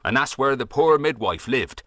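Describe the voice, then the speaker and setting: A man's voice: mid-range voice, animated, normal speed, fairly bright tone, dry recording, clean studio sound, voice pushed up, close to an everyday voice. A man voicing an old West Country farmer who rambles on in folksy dialect with a West Country accent, grumbling at red tape. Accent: West Country English. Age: older.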